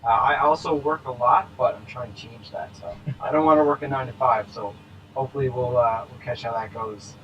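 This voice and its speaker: A man in his twenties co-hosting a casual podcast, easygoing with quick joking asides. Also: mid-range voice, fairly even intonation, dull audio, faint music behind. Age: 20s. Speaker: podcast co-host. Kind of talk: casual chat.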